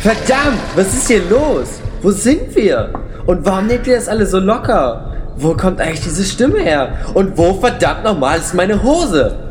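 Grumpy sarcastic guy played by a man, very varied pitch, indignant streak, comedy audio play, music behind. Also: sing-song, unhurried. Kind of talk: amateur comedic audio drama acting.

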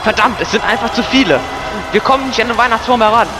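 A man's voice: extremely high pitch, fast, over loud music. A man playing an earnest adventurer in an amateur audio drama parodying video games. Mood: urgent.

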